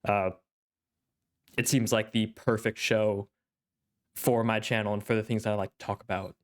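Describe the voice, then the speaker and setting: Young male anime host, stuffy-nosed, smooth low-ish voice, rambling casual commentary. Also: flat delivery, moderate pace. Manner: thoughtful, rambling, with frequent fillers. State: congested, clearing his throat. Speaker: anime reaction channel host.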